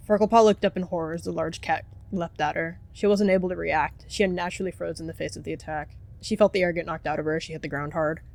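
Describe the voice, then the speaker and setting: A woman's voice: lowish voice, fairly even intonation, fast, creaky, hardly any pauses, darkish tone. A woman reading scripted story narration for an animal fantasy roleplay.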